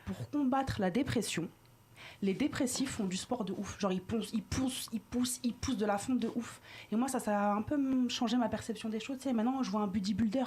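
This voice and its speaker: A woman's voice: a little high, a little fast, a little mellow, slightly rough. A woman giving a comic column on a talk show in casual, slangy banter.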